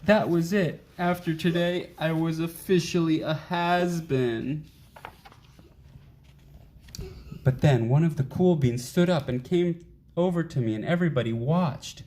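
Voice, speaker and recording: A man voicing a wistful, self-deprecating uncool young bean in an expressive children's storybook reading. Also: on the high side, normal speed, lightly acted. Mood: earnest, hopeful. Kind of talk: children's picture book read aloud.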